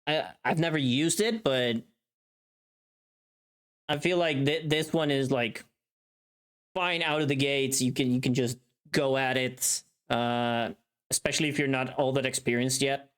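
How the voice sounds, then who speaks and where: A woman livestreamer chatting casually as she works, in a halting way, murmuring to herself between remarks. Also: rumbling low, fairly even intonation. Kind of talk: casual chat while working on a livestream.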